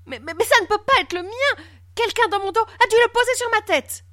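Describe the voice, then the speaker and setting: A woman's voice: very high voice, lively intonation, a little fast, a bit bright, pitched above the performer's own voice. A woman voicing a timid, polite boy in a storybook, stammering and anxious. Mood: bewildered. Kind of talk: storybook character acting.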